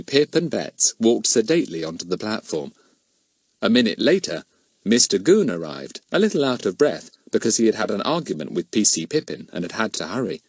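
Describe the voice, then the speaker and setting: Highly animated male storybook narrator. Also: no echo. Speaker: audiobook narrator. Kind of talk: scripted children's story narration.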